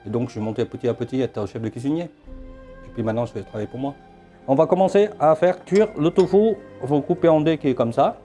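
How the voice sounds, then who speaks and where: A middle-aged man walks through his dishes in a restaurant kitchen. His voice is mellow and dark, and he talks haltingly, leaning on fillers and restarts. Faint music plays behind him. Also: medium pitch. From Vietnam. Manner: hesitant, many fillers, halting and repetitive. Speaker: chef. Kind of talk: cooking demonstration.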